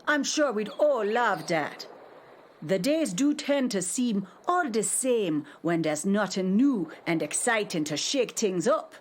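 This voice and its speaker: A woman voicing a kindly nursing home caretaker who talks in a gentle, motherly, folksy way. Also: mid-range voice, lively intonation, takes it slowly. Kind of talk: audio drama acting.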